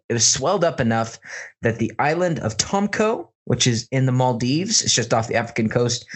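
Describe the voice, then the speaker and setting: An American man with a mid-range voice hosts a casual podcast. He tells news stories informally and with animation, in the easy style of comedic banter between friends. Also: medium pitch. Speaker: podcast host.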